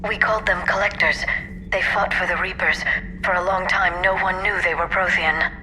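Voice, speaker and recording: A woman playing a gentle, apologetic alien scholar in a video game, carefully explaining through a radio-like filter. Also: fairly low pitch, fairly even intonation, normal speed, a little hiss or hum, rough recording. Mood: regretful. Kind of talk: video game character dialogue.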